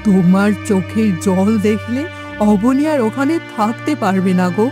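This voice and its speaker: Middle-aged woodcutter's wife for a cartoon, female performer: gentle, earnest, consoling, over clear music. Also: mid-range voice, moderate pace, natural voice. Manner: soothing, earnest. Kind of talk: cartoon fairytale acting.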